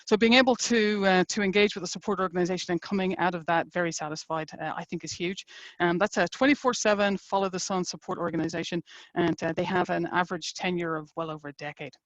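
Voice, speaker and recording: A middle-aged woman with an Irish accent gives a conversational company webinar presentation, filling pauses with uh and um. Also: fairly low pitch, fairly even intonation, dry recording. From Ireland. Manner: conversational, frequent uh and um fillers. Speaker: engineering executive presenting a webinar.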